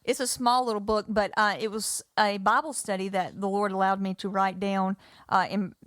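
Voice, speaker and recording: A woman in her forties, a singer and author, speaking with earnest, heartfelt warmth and some gentle teasing as a radio guest. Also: mid-range voice, little pitch movement, normal speed, no echo, studio quality. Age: 40s. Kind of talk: casual radio interview.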